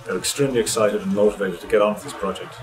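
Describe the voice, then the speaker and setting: Low-voiced man speaking over music. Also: low-quality recording. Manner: steady, measured delivery. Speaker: programme director. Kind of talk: scripted interview to camera. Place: outdoors by a building with shrubs. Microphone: close mic, framed head and shoulders. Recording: music bed under the voice.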